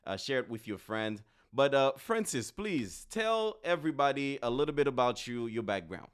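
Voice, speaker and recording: Smooth-voiced, chatty male podcast host. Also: medium pitch, big pitch swings, moderate pace. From Haiti. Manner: upbeat, chatty, repeats words and hesitates with fillers. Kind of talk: casual podcast hosting.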